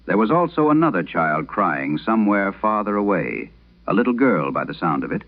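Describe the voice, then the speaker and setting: A man narrating a scripted vintage radio horror tale in the first person, in a grave, measured, gravelly voice. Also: on the low side, sing-song. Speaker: narrator of a horror audio drama. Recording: muffled, band-limited vintage radio sound.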